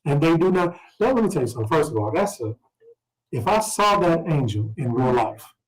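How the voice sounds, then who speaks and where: A man's voice: a little high, sing-song, a little fast, low-quality recording. A man leading an informal scripture study, reading verses aloud and explaining them, often checking in with questions. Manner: explanatory, prompts listeners with questions and "right?".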